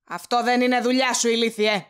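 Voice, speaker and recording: A woman's voice: a little high, level tone, clear, resonant tone, close to an everyday voice. Woman acting a haughty scheming villainess. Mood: irritated, scornful. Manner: condescending, cutting. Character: haughty scheming vain female boss, cold villain. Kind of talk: Greek audio drama acting.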